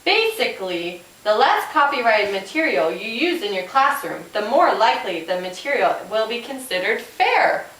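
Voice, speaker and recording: A woman's voice: on the high side, sing-song, normal speed, hardly any pauses, fairly bright tone, a little hiss or hum. A woman voicing a helpful police officer puppet, giving a scripted, instructive talk to a class. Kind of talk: scripted educational puppet show. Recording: roomy, distant microphone.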